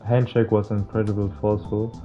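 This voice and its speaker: A man reading visual novel text aloud as a playthrough host, stumbling over words now and then in a halting way. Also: lowish voice, flat delivery. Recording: muffled lo-fi mic, music behind. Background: game music.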